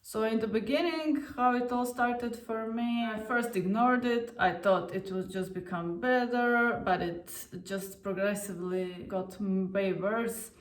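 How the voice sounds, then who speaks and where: A woman in her thirties, originally from Croatia, shares a personal story in a slow, deliberate, candid way, pausing with fillers. Her voice sits at an ordinary middle pitch. The sound is roomy and echoey, picked up from some distance away. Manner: unhurried, candid, with many fillers. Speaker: vlogger.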